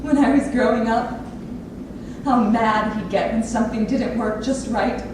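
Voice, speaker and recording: A woman's voice: on the high side, measured pace, close to an everyday voice. An actress playing an earnest, intense grown daughter of an abusive father in a staged play reading. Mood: pleading, quiet. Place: theatre lab room with an audience area. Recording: very echoey room, distant mic, noisy.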